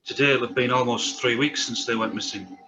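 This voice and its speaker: A man plays a grave survivor in an audio drama table read. His voice is medium in pitch with a bright, forceful edge. The recording is slightly dull. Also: fairly even intonation, brisk, lightly acted. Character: grave male survivor of violent events. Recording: dull microphone with faint noise.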